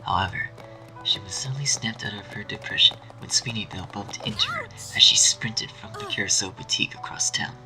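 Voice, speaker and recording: A man narrating a scripted dark fan story in a dramatic storytelling style. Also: on the low side, huge pitch swings, brisk, whispered, low-quality recording. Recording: faint music behind.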